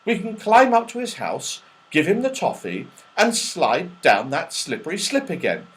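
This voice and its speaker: A man's voice: a little high, huge pitch swings, normal speed, a bit bright, voice pushed up. A man voicing an eager, sensible young boy in a children's story, decisive and upbeat.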